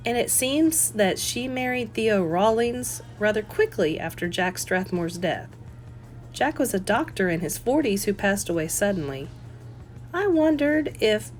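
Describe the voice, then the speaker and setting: A woman's voice: medium pitch, natural voice. A woman voicing an earnest, persistent and polite widow playing amateur sleuth in an audiobook, with a lilting, emphatic delivery. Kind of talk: audiobook character voice.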